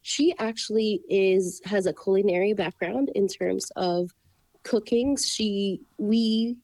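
A woman from the Philippines, a coffee shop owner talking about her family as an interview guest, often pausing with "um" and restarting. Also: mid-range voice, mellow, no echo, poor audio. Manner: hesitant, many ums and restarts. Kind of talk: casual interview.

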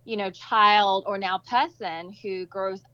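A woman's voice: medium pitch, fairly even intonation, slow, sharp tone, smooth, no echo, muffled recording. A woman raised in Southeast Asia and Australia, answering interview questions in an upbeat, chatty way. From Malaysia, raised in Indonesia, Australia and Singapore. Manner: upbeat, chatty, with playful asides. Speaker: guest, nonprofit founder. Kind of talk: casual conversational interview answers.

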